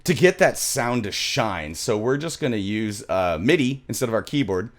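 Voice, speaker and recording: A man's voice: on the low side, lively intonation, normal speed. A middle-aged man giving a casual music production tutorial, rambling and thinking aloud as he works, recorded close on a mic in a small home studio.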